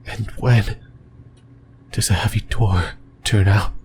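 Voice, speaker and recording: A man voicing a creepy, possessive, lonely sleep paralysis demon in a whispered ASMR roleplay, breathy and halting, close to the mic. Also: lowish voice, steady tone, darkish tone, a little hiss or hum. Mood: uneasy musing. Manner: slow, halting, rambling, intimate musing. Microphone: close microphone.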